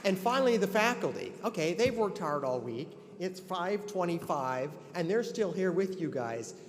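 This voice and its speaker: A man's voice: high voice, animated, normal speed, slight background noise. An older man giving an earnest, conversational ceremonial address, with many restarts. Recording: long hall reverb, faint ambience.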